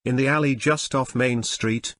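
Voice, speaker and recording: A man narrating as announcer, introducing an audio play in a measured, theatrical old-time radio style. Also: medium pitch, steady tone. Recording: music behind the voice.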